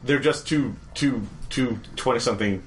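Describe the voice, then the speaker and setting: A man's voice: medium pitch, moderate pace, a little hiss or hum. A man running a tabletop game as game master, narrating with lots of restarts and fillers and laughing at his own descriptions.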